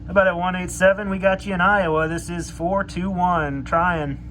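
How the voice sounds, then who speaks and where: A man's voice: high voice, fairly even intonation, normal speed. A man giving a casual hands-on demo and calling out as a CB radio hobbyist. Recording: clear background radio noise.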